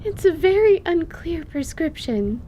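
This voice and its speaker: A woman playing a formal, bookish teacher of young girls in an audio drama, speaking in precise, measured wording. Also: extremely high pitch, very animated, dark tone, a little hiss or hum, full character voice. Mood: dryly objecting. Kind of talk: audio drama acting of a tabletop campaign.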